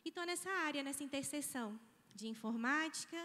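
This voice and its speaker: A woman in her thirties with a Mineiro Brazilian accent speaks in a high voice, chatty and informal, often tossing in tag questions. She is giving a keynote on a handheld microphone. The sound carries a long reverb from a large auditorium. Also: lively intonation, fairly quick, bright, forceful tone. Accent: Mineiro Brazilian Portuguese. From Brazil, Minas Gerais. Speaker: guest speaker, nursing professor. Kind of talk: conference keynote talk. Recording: hall reverb.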